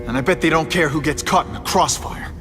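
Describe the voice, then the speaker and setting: A man's voice: on the high side, fast talker, big-room sound, lightly acted. An actor playing a wisecracking young superhero in a video game, teasing a thug as he questions him. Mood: wry. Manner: quippy and teasing. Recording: game audio over music.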